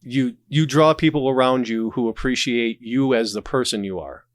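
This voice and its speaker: A man's voice: mid-range voice, moderate pace, no room sound. Man telling stories, very dry close sound. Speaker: podcast guest, audio drama creator. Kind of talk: casual podcast conversation, anecdotal storytelling.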